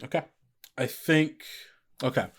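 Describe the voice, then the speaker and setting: A man working as game master, explaining rules and narrating scenes in an informal, halting way. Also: fairly high pitch. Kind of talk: casual actual-play narration.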